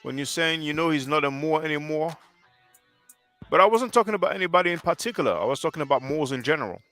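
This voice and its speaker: A man's voice: fairly high pitch, animated, fast, pushed and bright, faint music behind. A man in his thirties whose first language is French, hosting a livestream and lecturing earnestly while answering chat on a headset mic. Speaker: livestream host.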